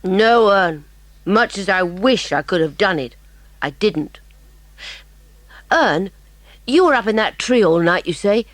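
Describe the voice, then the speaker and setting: A woman playing a clever, inquisitive British schoolboy detective, brisk and emphatic, in a dramatised audiobook. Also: mid-range voice, animated, no echo. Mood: brisk, questioning. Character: clever, keen, bossy schoolboy detective, eager and polite.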